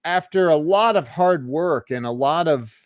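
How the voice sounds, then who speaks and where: Middle-aged male guest, slow and rambling with restarts, clean smooth voice, dry close sound. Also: fairly high pitch, lively intonation, no echo. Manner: rambling, laughs often, many restarts. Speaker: guest, entrepreneur. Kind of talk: casual interview talk.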